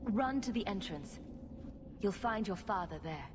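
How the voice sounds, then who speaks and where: Woman acting sarcastic rogue heroine, lively intonation. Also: mid-range voice, a little slow, in a large hall, a little hiss or hum, close to an everyday voice. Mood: blunt. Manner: dry, sarcastic, unimpressed. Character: sarcastic, wisecracking adult female rogue adventurer. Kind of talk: video game dramatic acting.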